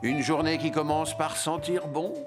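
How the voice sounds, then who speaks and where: Gentle male cartoon narrator. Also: fairly high pitch, steady tone, normal speed, loud music behind the voice. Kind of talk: scripted storybook narration.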